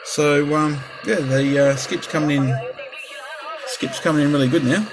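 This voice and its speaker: An Australian man chatting casually and haltingly as a hobby radio operator, often repeating himself. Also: on the high side, fairly even intonation. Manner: halting, repetitive. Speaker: CB radio operator demonstrating his equipment. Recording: clear background hiss and radio noise.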